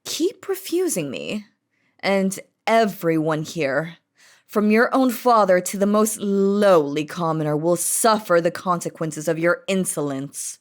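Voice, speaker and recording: Woman acting an imperious, slow-spoken demon queen. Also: fairly low pitch, expressive, pitched below the performer's own voice. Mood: menacing. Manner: imperious, dramatic, threatening yet doting. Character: feared demon queen, possessive, commanding, lovestruck bride. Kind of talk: scripted romantic audio roleplay.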